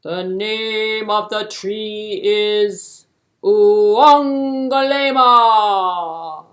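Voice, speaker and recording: A woman voicing a grand, solemn king in a puppet-show folktale, proclaiming loudly and slowly in a stately manner. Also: medium pitch. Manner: loud, slow, stately proclaiming. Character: grand, booming king of an African village, solemn.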